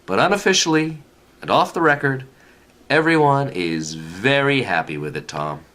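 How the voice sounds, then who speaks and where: A man's voice: mid-range voice, lively intonation, moderate pace, a bit bright, natural voice. An actor playing a jovial, patronizing older male official who reassures in an emphatic, avuncular way, in a comedic radio play. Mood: confident, smug.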